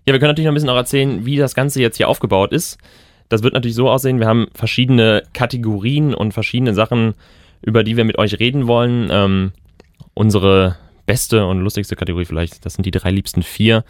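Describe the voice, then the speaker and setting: A man's voice: fairly low pitch, steady tone, fast talker, no echo. A young man co-hosting a student radio podcast, chatting casually with dry, wry asides. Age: young adult. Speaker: podcast co-host.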